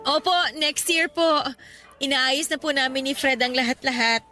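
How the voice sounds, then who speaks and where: A woman's voice: high voice, normal speed, sharp tone. A woman playing an affectionate, respectful young adult daughter in a radio drama, with a Filipino accent. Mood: earnest. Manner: respectful, earnest, heartfelt. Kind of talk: radio drama acting in Tagalog. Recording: music bed behind the voice.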